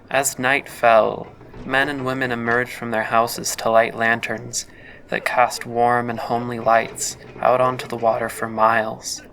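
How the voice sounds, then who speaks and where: An actor playing a hesitant young male traveller in an audio drama, halting in talk and measured when he narrates. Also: mid-range voice, pushed and bright, a little hiss or hum, low-quality recording.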